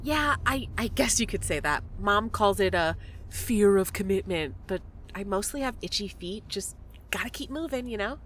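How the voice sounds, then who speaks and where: Actress doing blunt restless woman in an audio drama, bright sharp voice, sardonic, faint noise behind. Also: medium pitch, expressive, moderate pace, close to an everyday voice. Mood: brisk. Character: restless, blunt, frustrated woman searching for family. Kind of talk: fiction podcast acting.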